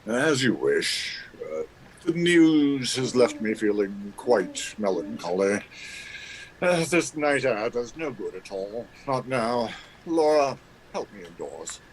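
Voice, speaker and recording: A man's voice: medium pitch, very animated, slow, low-quality recording. An actor playing a pompous, fussy, hesitant father in a radio drama. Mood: flustered, melancholy.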